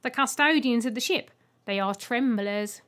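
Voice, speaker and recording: A woman's voice: a little high, moderate pace, fairly bright tone, lightly acted. A woman voicing a polite, blunt robot egg in an audiobook, speaking in a formal, literal way. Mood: matter-of-fact. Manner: formal, literal, matter-of-fact. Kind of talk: audiobook character voice.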